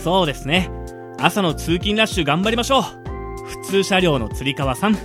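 Brief: male actor for an excitable, gossipy hand strap in comic radio drama; high voice, swooping intonation. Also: moderate pace, music in the background. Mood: polite, cheerful. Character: friendly chatty male train hand strap, excitable senior colleague.

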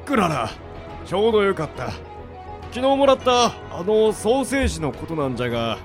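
A man playing an excitable, earnest character posing as an old man in a radio drama, with a gravelly voice and eager manner. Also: high-pitched, fairly quick, faint music behind.

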